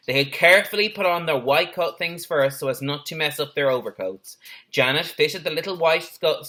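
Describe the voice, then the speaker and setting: Bright-voiced man reading a storybook. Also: mid-range voice. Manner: expressive, with brief asides. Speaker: teacher reading a story to pupils. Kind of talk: animated children's storybook reading.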